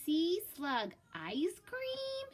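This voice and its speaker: A woman voicing an excitable, mixed-up young boy shark in a storybook, with childlike exclaiming and drawn-out words. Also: extremely high pitch, pitched above the performer's own voice, heavily acted. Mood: eager, pleading.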